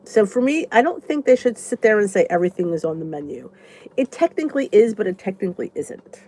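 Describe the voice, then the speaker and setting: A woman's voice: mid-range voice, lively intonation, a little fast, warm tone. A congested woman vlogger with a stuffy nose, rambling chattily as she answers viewer comments in a casual live chat. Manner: chatty and rambling, thinks aloud.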